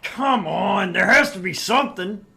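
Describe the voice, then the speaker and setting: A man's voice: high voice, animated, measured pace, vocal fry, bright, forceful tone, a little hiss or hum, heavily acted. A man voicing a brash, crude, sleazy middle-aged tabloid editor in a visual novel read-through, with a pompous, pushy manner.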